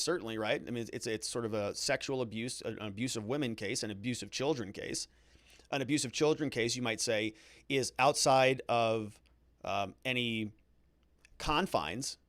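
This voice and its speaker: Guy doing live legal commentary, chatty, thinks out loud, repeats words to stress stuff, mid voice, really dry close sound. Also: medium pitch, dry recording. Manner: conversational, hedging, with emphatic repeated words. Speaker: host of a legal commentary livestream.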